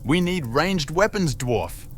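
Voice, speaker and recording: A man's voice: a little high, very animated, slow pace, fairly bright tone, a little hiss or hum, voice pushed up, heavily acted. A man voicing a pompous male royal guard who scolds in a prim, stuffy manner. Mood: scolding.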